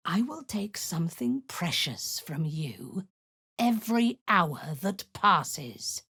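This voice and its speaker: An actress voicing a ruthless drow woman commander who leads zealous raids, speaking in a cold, commanding voice with a husky edge that often sinks into a whisper. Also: fairly low pitch, sing-song, slow, a bit bright, dry recording, studio quality, full character voice. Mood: menacing. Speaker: game character. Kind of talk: video game voice lines.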